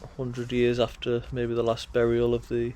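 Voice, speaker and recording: A man's voice: mid-range voice, completely flat delivery, moderate pace, dark tone, a little hiss or hum. A man walking through an old churchyard, reading gravestones aloud in a casual, musing way while losing his voice. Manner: musing, reads gravestones aloud, talks to himself. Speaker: vlogger touring a graveyard. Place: outdoor churchyard.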